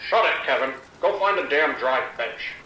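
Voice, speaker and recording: Blunt male townsman, acted, sharp mid-pitched voice, exasperated colloquial grumbling, rain and faint noise behind, radio drama. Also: expressive, moderate pace, poor audio. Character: exasperated, gruff local man calling the police, blunt. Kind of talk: audio drama phone dialogue.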